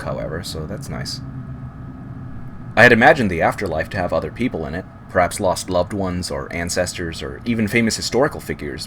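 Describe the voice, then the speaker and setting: Low-voiced man reading a horror story. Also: fairly bright tone, slight background noise. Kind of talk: first-person scary story narration. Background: background music.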